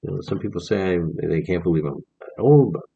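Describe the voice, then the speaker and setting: A man in his forties with a very low, gravelly voice talks earnestly to camera, rambling and restarting as he goes. His tone is husky, and the audio is dull and lo-fi. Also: lively intonation, fast, mellow. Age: 40s. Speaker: vlogger. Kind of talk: casual vlog monologue. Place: indoors, on camera.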